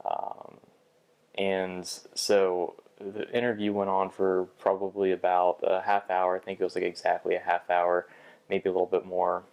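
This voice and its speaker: A man's voice: low voice, completely flat delivery, moderate pace, creaky, darkish tone, muffled recording. A young man talking through a bad job interview in a personal vlog, hesitant, with frequent restarts and fillers. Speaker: vlogger.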